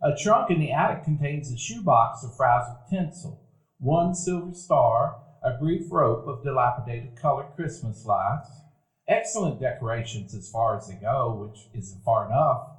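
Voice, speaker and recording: A man narrating a live radio play calmly, as a grown man recalling his boyhood. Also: medium pitch, moderate pace, natural voice. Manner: calm, reminiscent storytelling. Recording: roomy live stage recording.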